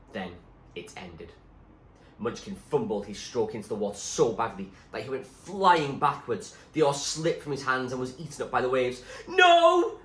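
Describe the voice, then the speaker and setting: A man reading a story aloud in an expressive, suspenseful narrating style. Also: fairly low pitch. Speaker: reader, teacher. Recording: echoey room with faint background noise.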